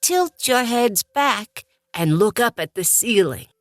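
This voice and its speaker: A woman playing a waitress who serves uncanny meals in an audiobook, with a deliberate, theatrical delivery. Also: on the high side, lively intonation, no echo, clean studio sound. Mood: calm, ceremonious. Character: unhurried waitress serving uncanny supernatural meals. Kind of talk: audiobook character acting.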